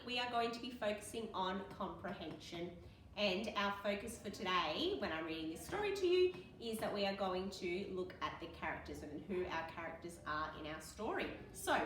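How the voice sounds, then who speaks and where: Bright-voiced woman teacher reading to children. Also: mid-range voice, slight background noise, low-quality recording. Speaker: primary school teacher. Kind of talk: read-aloud lesson for young children.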